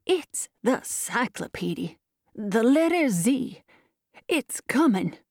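A woman voicing a feeble, devout, dying old man, halting and fervent, in a storybook tale. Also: on the high side, big pitch swings, darkish tone, dry recording, studio quality, pitched above the performer's own voice, exaggerated voice. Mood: feeble rapture.

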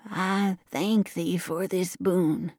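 Woman playing a slow, feeble old man. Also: high voice, sing-song, darkish tone, dry recording, studio quality, pitched above the performer's own voice, heavily acted. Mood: feeble rapture. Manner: slow, halting, fervent.

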